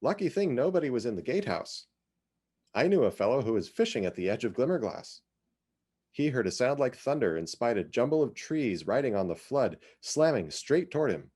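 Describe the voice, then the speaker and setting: A man reads as a chatty, opinionated small-town woman from a storybook. The delivery is brisk and emphatic, leaping up and down in pitch with clipped, gossipy phrases. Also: sing-song, darkish tone, slightly rough. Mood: bright, warning.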